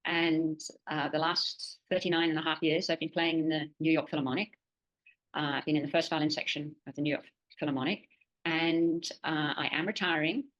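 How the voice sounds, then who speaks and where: An older woman with a low voice speaks as a guest in a casual interview. She is reflective and somewhat halting, often repeating a phrase while she thinks it through. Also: steady tone, muffled recording. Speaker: interview guest, adult language learner.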